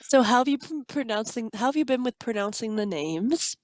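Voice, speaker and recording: A woman's voice: on the high side, expressive, a little fast, darkish tone, no echo. A teenage girl chatting casually over voice chat, giggly and teasing. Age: teens. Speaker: co-host friend on voice chat. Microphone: voice chat mic.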